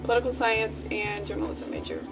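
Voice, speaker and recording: A woman of twenty-one, a student, answering interview questions in a soft, matter-of-fact way. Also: medium pitch, fairly even intonation, fairly quick. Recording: distant mic, music behind.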